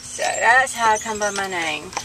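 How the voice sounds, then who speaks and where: A chatty woman in her late fifties with a mid-range voice. She talks casually and emphatically, repeating phrases for stress. Clear outdoor noise and clopping horse hooves run behind her. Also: medium pitch, normal speed. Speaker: tourist passenger on a buggy ride. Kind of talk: casual chat. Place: outdoors in a moving horse buggy. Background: horse hooves, buggy rattle.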